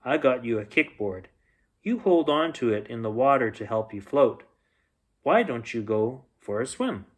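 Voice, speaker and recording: A man's voice: mid-range voice, expressive, normal speed, lightly acted. Narrator playing a kindly storybook man, cautious, caring, instructive, varied intonation. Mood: encouraging. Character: kindly, cautious guardian man advising his little companion.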